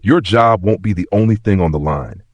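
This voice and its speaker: A man voicing an angry, scolding airline supervisor in an audio story, clipped, forceful and accusing. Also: low voice, moderate pace, no echo, dull audio.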